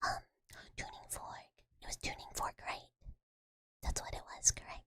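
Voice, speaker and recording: Whispering woman ASMR streamer. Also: normal speed. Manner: soft whispering, rambling with many fillers. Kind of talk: whispered live-stream chat. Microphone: USB desk mic.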